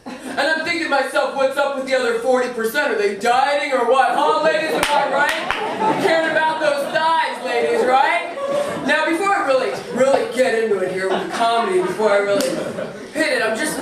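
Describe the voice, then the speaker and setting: A woman's voice: high voice, close to an everyday voice. A woman playing a brash, self-righteous feminist stand-up comic, goading a live audience for agreement. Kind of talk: live stand-up comedy sketch. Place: live theatre stage with audience. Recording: echoey theatre, distant, audible crowd.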